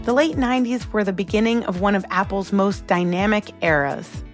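A woman delivers scripted documentary narration as the host of a podcast. Her voice sits at a middle pitch for a woman, with soft music underneath. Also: fairly quick.

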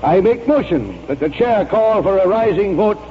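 Impassioned old colonel, high and swooping. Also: male voice, sing-song, moderate pace, slight background noise. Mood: oratorical. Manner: oratorical, impassioned, formal. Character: earnest, proud old colonel crusading to save a courthouse. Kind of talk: 1940s radio acting. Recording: dull 1940s radio recording, loud music.

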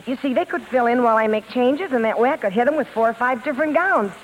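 A self-assured vaudeville headliner acted by a woman from a 1950s radio play. She talks in a high voice, breezy, slangy and a little bossy. The aged broadcast has a faint crackle. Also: quick pace, lightly acted. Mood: eager. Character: brash, confident female vaudeville singing star. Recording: noisy old radio broadcast.

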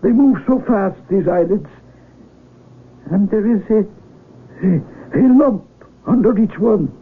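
An actor playing a wise, gentle old blind village elder in a radio drama, speaking solemnly and deliberately. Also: male voice, high-pitched, husky, heavily acted. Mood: kindly, grave. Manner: deliberate, halting, solemn, kindly. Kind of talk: 1970s radio drama acting. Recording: tinny, noisy 1970s AM radio recording.

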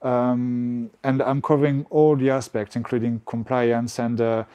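French-accented man, halting speech. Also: medium pitch, flat delivery, dark tone, clear, resonant tone, dull audio. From France. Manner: halting, many restarts and fillers. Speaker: guest, real estate agent. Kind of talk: conversational interview.

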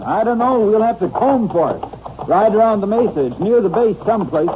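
A man's voice: high voice, expressive, a little fast, muffled recording. A man playing an eager, folksy western sheriff in an old-time radio crime drama, blunt and full of questions, on a noisy old recording. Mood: watchful. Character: plain-spoken, eager male western sheriff.

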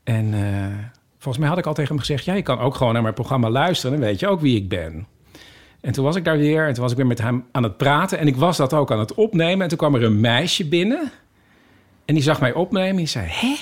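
A man's voice: mid-range voice, sing-song, fast talker. A man, a podcast guest telling anecdotes in a modest, informal way. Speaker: podcast maker, guest on the show. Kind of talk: casual chat, telling anecdotes.

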